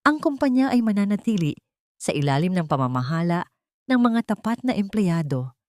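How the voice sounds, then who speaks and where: A woman voicing a resolute, forgiving young mother with a solemn, measured delivery in an audiobook drama. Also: medium pitch, big pitch swings, a little mellow, no room sound, clean studio sound, natural voice.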